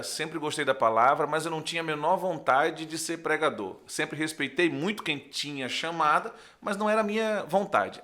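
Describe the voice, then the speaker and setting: A young man from Recife speaks with a northeastern Brazilian accent and a sharp, somewhat raised voice. He is a pastor telling his story in a conversational way, playing out the dialogue as he goes. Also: on the high side. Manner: animated storyteller, acts out dialogue, often checks with "né". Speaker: pastor, podcast guest. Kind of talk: conversational personal testimony.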